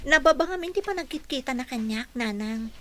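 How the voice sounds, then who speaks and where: A woman's voice: sits high, animated, a little fast. A woman with an Ilocano accent playing a determined, earnest young employee in a radio drama.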